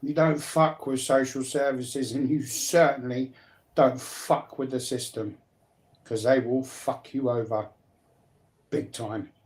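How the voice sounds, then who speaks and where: A man's voice: mid-range voice, fairly even intonation, slow pace, a little mellow. A man talking personally and bluntly, repeating phrases to drive his points home. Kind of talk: emphatic personal talk, partly confrontational.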